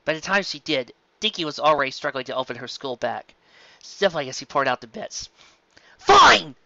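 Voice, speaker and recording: A woman reading a story aloud as its narrator, in an expressive scripted style. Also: rumbling low, brisk, dry recording, lo-fi sound.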